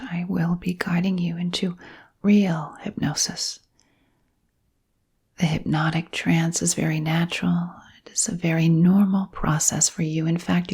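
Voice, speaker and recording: Whispering, breathy woman guiding hypnosis. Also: lowish voice. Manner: slow, soothing phrasing with gentle pauses. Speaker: hypnotherapist and channel host. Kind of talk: guided sleep hypnosis, ASMR-like whispering.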